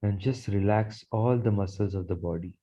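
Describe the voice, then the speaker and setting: A man leading a guided meditation in a soothing, measured manner, repeating phrases as he goes. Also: fairly low pitch, warm tone, lo-fi sound. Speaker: guided meditation leader, Reiki teacher.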